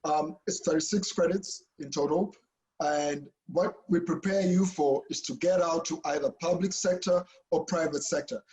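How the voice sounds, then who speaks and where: Guy professor on a video call, warm mellow voice, keeps saying okay?. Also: fairly high pitch, steady tone, moderate pace, rough recording. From Kenya. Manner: chatty, frequent "okay?" and restarts. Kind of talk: online info session talk.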